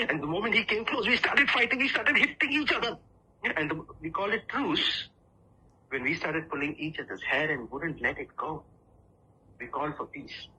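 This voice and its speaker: A man delivering a rehearsed humorous story as a contest speaker, theatrical, with dramatic pauses and emphasis. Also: mid-range voice, pushed and bright, a little hiss or hum, low-quality recording.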